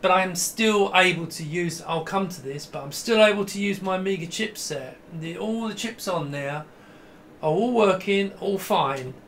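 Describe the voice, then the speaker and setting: A man's voice: high-pitched, normal speed, fairly bright tone, a little hiss or hum. A middle-aged man giving a casual, enthusiastic hands-on demo to camera, rambling and often breaking off mid-sentence. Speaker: host demonstrating a vintage computer. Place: small indoor home room with computer gear.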